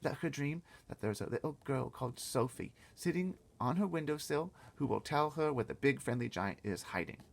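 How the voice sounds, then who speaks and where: A man's voice: medium pitch, animated, moderate pace, gravelly, few pauses, pitched above the performer's own voice. A man voicing a bossy, eager little girl in a storybook read-aloud, explaining things emphatically and confidently. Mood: urgent, commanding.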